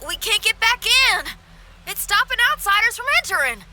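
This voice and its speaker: A woman's voice: very high-pitched, big pitch swings, normal speed. A young woman voicing a blunt, protective, cautious heroine in a video game, casual and direct. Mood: worried. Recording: music behind the voice.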